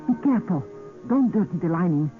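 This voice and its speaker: A woman's voice: lowish voice, animated, a little fast, soft background music, close to an everyday voice. An actress playing a scheming female secret agent, urgent and dramatic, in an old-time radio drama. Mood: secretive, intense. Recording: tinny, narrow-band vintage radio recording.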